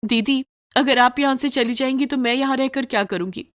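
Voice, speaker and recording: A woman's voice: high voice, fairly quick, pitched above the performer's own voice, close to an everyday voice. A woman voicing a haughty, spoiled rich young wife who complains indignantly, in a Hindi moral story cartoon.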